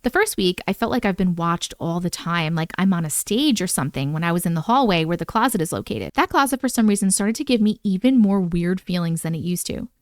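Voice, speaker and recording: A woman in her thirties reading viewer-submitted scary stories aloud in a bedroom, breaking off for chatty, animated comments. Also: fairly low pitch, a little fast, few pauses, no room sound, clean studio sound. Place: bedroom, indoors. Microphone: large studio mic on boom in front of her.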